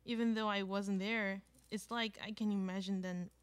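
A woman's voice: mid-range voice, steady tone, normal speed, lightly acted. A young woman playing a chatty friend telling a holiday tale in scripted radio theater. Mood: chatty, storytelling.

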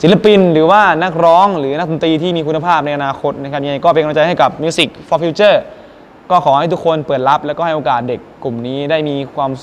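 A man's voice: on the high side, fast talker, slight background noise. A young man, a singer mentoring students, speaking politely and earnestly to the camera in a presenter style, first outside a school and then inside a music school. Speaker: guest singer and workshop mentor. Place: outdoors by a school building, then indoors at a music school.

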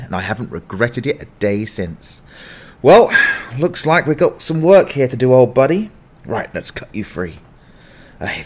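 A man voicing a gruff, sardonic, down-at-heel adventurer in a fantasy audiobook, grumbling in exasperation. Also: mid-range voice. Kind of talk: dramatized fantasy audiobook acting.

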